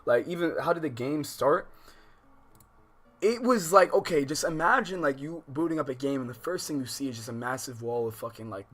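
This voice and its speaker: A man chatting casually as a livestream host, animated, with slangy, profane speech and rambling unfinished thoughts. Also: a little high, fairly quick. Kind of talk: casual livestream chat, reacting and addressing viewers.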